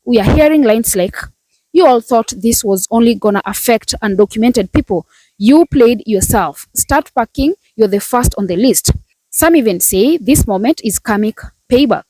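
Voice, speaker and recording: A woman reading a scripted commentary in a measured, polished way. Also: fairly high pitch, animated, mellow, no room sound, rough recording. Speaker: commentary narrator. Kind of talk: scripted narration.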